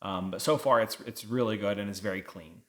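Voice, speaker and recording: A man's voice: on the low side, brisk. A man giving a casual, conversational book review, explaining things as a vlog co-host.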